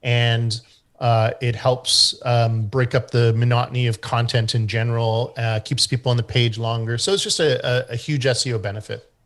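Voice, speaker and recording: A man's voice: medium pitch, moderate pace. Canadian man explaining website SEO. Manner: relaxed and explanatory, with frequent fillers and restarts. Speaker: guest expert on website SEO. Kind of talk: explaining during an online group meeting.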